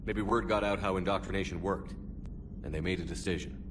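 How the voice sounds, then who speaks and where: Male actor as a very deep-voiced commander, dry and clipped, noise underneath. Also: fairly even intonation, fairly quick, natural voice. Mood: steady. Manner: terse, dry, matter-of-fact. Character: male military commander, gruff and steady.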